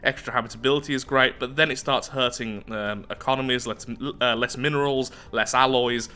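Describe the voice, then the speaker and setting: Bright-voiced man commentating a strategy game. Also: medium pitch, steady tone, fairly quick, clean tone. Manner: chatty, thinking aloud. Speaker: gaming YouTuber host.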